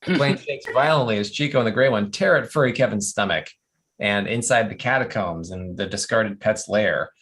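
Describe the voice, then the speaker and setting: A narrator with a husky voice reading scripted stage directions at an animated comedy table read. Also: medium pitch, normal speed.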